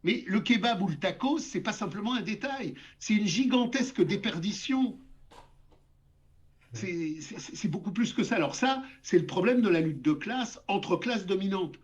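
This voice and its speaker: A man's voice: sits high, animated, normal speed. Older man lecturing emphatically, dull audio. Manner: emphatic, lecturing, repeats words. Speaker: guest essayist. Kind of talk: interview discussion.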